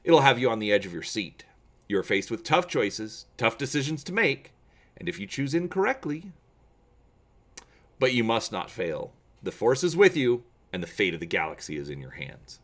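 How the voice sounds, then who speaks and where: Male channel host, lively varied pitch, expressive emphatic delivery, dramatic storytelling style. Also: moderate pace. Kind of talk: dramatic reading and explaining to viewers.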